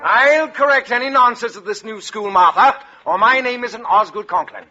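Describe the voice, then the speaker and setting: An actor playing a pompous, irritable middle-aged American school principal who barks indignantly in a 1940s radio comedy. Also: male voice, extremely high pitch, moderate pace, pushed and bright, faint music behind, full character voice. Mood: pompous indignation. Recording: muffled vintage 1940s radio recording.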